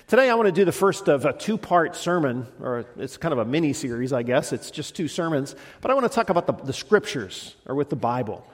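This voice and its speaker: Pastor with a head cold, church reverb. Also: male voice, a little high, sing-song, fairly quick, few pauses. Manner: warm conversational preaching with frequent restarts. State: head cold, slightly congested. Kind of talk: sermon. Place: church sanctuary. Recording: long reverb of a church hall.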